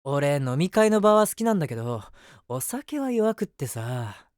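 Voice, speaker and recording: A man's voice: on the high side, very animated, moderate pace, dry recording, studio quality. A man playing an eager, submissive, lovestruck young man in an audio drama, chatting and rambling to the listener with self-mockery. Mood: nervous, giddy. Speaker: voice actor. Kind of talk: situation voice drama, first-person monologue to the listener.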